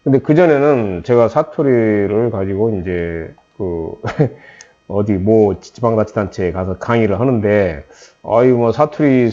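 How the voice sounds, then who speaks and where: A man's voice: lowish voice, dark tone, dull audio. A man with a slight Jeolla Korean accent, lecturing trainees in a conversational way, with many "eo" fillers and restarts. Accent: Jeolla Korean, mostly softened.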